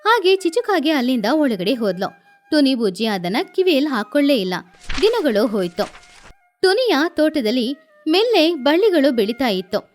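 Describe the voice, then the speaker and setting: A woman narrates a children's story in a very high voice. Her delivery is lively and swooping, with music underneath. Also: normal speed.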